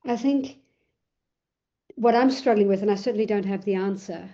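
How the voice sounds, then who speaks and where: A woman's voice: medium pitch, moderate pace, warm tone. A woman joining the discussion in an online seminar, searching for words and repeating herself, heard over a video call. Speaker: seminar participant. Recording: dull lo-fi audio.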